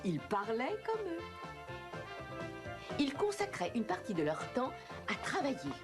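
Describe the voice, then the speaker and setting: Very expressive woman fairy-tale narrator. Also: sits high, a little slow. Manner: storytelling, expressive. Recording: music behind the voice.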